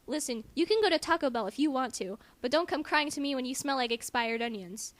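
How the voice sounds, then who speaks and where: A woman's voice: high voice, animated, brisk. A woman voicing a grumpy, sarcastic old great-uncle in a story reading, blunt and mocking. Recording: noisy amateur home recording.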